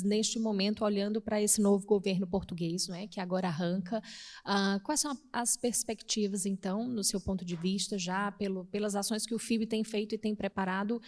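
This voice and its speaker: A woman's voice: medium pitch, darkish tone. A woman moderating a panel discussion, asking long, winding questions.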